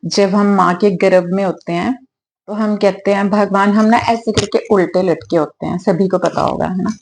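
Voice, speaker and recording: A woman with a slightly hoarse throat, clearing it now and then, talking gently and affectionately in a casual devotional vlog at her home altar. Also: mid-range voice, fairly even intonation, moderate pace, a little hiss or hum. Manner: gentle, affectionate, preachy at times. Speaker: devotional vlogger.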